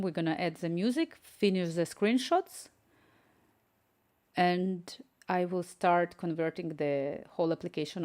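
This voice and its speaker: A woman's voice: on the low side, a little mellow. Ukrainian woman live-coding and chatting. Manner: chatty, thinks aloud, reads chat messages and dictates prompts. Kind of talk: casual live-stream commentary while coding.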